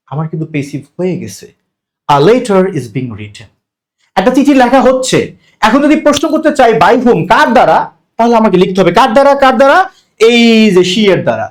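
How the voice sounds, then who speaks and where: A man with a Bangladeshi Bengali accent teaching an English grammar lesson, explaining step by step and asking and answering his own questions. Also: high-pitched. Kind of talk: classroom-style grammar lesson.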